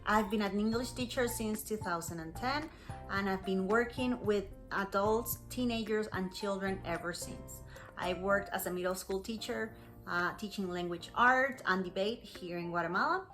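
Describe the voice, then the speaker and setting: A woman English teacher speaks in a bright, forward voice with a Costa Rican Spanish accent. She tells her story to learners in clear, encouraging phrases, at a medium pitch, with music in the recording. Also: normal speed. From Costa Rica. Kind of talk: personal story told to learners.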